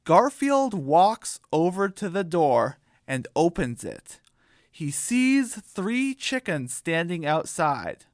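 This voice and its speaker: A narrator reading a scripted comic radio drama in a deliberate storybook manner. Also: medium pitch, sing-song, no echo, studio quality.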